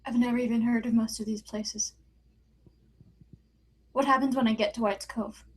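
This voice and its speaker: Woman acting a high-voiced, frightened mother, noisy recording. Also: steady tone, normal speed, lightly acted. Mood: anxious. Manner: urgent, distressed. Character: frightened, grieving, defiant young mother threatened by a killer. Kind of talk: screenplay table read.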